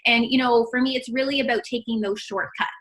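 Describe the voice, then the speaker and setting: A woman's voice: a little high, steady tone, a little fast, a bit bright. A woman dietitian chatting cheerfully in an interview over a call, laughing often. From Canada. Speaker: registered dietitian guest.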